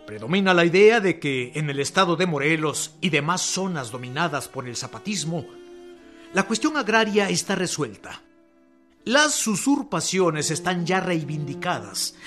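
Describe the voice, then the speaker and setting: A man narrates a radio drama in an emphatic, expressive way, with a slightly high pitch for a man. Light music plays beneath him. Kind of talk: scripted narration.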